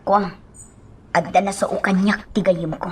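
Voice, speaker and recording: A woman playing a worried young working woman who confides haltingly in friends, in an Ilocano radio drama. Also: medium pitch, steady tone, husky. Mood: anxious confiding. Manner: hesitant, halting.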